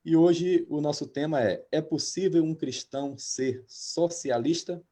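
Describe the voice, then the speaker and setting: A man in his fifties, a pastor hosting an interview, talking hesitantly with many drawn-out filler sounds. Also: on the high side, mellow. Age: 50s. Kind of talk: interview, reading a guest introduction.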